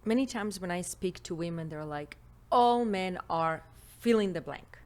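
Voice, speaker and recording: Israeli-accented woman relationship expert, Hebrew native, lowish voice, confident teacher. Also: moderate pace. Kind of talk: interview conversation. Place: podcast studio.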